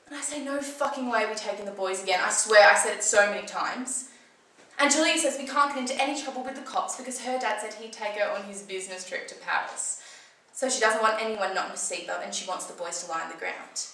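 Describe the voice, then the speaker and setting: In a very echoey room, a little away from the microphone, a young woman acts out a defensive, shaken teenage girl in a dramatic monologue. Her voice is bright and sharp, and she tells it colloquially, in halting bursts, like a screen audition piece. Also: medium pitch, recorded from a distance. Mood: defensive, wry. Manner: colloquial, swearing, halting then breathless retelling. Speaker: acting student performing a monologue. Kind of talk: dramatic screen-acting monologue, conversational first-person storytelling.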